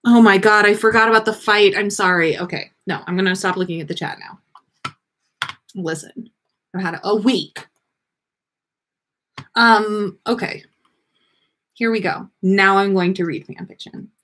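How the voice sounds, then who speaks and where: A woman hosting a livestream in a playful, expressive manner. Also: medium pitch, unhurried, pauses often. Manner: playful, sweary, teasing, expressive. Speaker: podcast host reading fan fiction on a livestream. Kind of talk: casual livestream banter.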